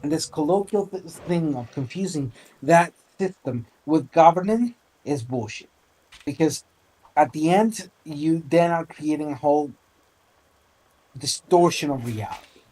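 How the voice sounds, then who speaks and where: Mellow-voiced, high-pitched man in his forties. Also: animated, unhurried, husky, low-quality recording. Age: 40s. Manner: discursive, formal wording mixed with swearing. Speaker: debate participant. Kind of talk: informal online debate.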